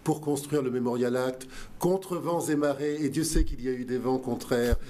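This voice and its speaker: A man's voice: medium pitch, moderate pace, mellow. A man guest on a talk show, speaking earnestly with many fillers and restarts. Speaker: guest, museum director. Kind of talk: radio talk show interview.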